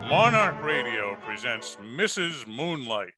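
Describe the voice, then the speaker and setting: A man's voice: medium pitch, big pitch swings, slow pace, loud music behind the voice. A man delivering scripted narration as an old-time radio host, formal and theatrical as he presents a play.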